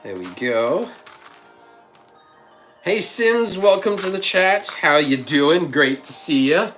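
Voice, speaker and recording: A man's voice: on the high side, big pitch swings, slow, a bit bright, soft background music. Man with a lingering cough, chatting casually. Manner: thinks aloud, chatty, reads out chat messages. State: lingering cough, slight frog in throat. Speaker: livestream host. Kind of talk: casual livestream chat while building.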